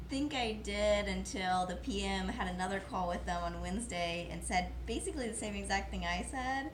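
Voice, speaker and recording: A woman with a hoarse, fading voice chats casually with the camera and rambles along with plenty of fillers. She is outdoors, and wind noise comes through clearly. The sound has a roomy echo. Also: fairly low pitch. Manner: chatty, rambling, lots of fillers. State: hoarse, losing her voice. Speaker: vlogger. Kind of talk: casual chat.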